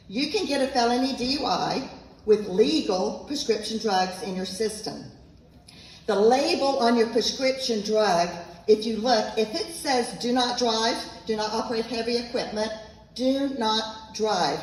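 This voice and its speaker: A woman's voice: on the high side, measured pace. A woman, giving an earnest, emphatic talk to an audience as an author at a local event. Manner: earnest, emphatic, repeats points. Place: event room, echoey. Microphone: handheld microphone, at times off mic. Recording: echoey room from far off, faint noise.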